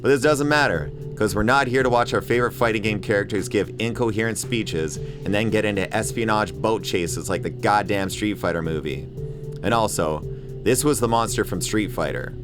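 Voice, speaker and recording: A man's voice: lowish voice, normal speed. A man delivering an opinionated, emphatic scripted video essay as host, with casual swearing. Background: music bed under voice-over.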